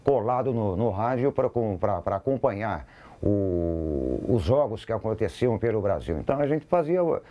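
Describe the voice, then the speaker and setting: A man's voice: on the low side, mellow. A Brazilian man, a radio sports reporter, casually recalling his work in an interview.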